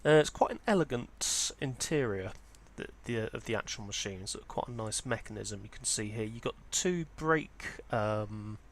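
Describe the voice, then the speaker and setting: A man's voice: fairly low pitch, expressive, normal speed, hardly any pauses. A man presenting an informal, unscripted walkthrough as a hobbyist, explaining things in a hesitant, hedging way. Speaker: presenter of a vintage audio hobby channel.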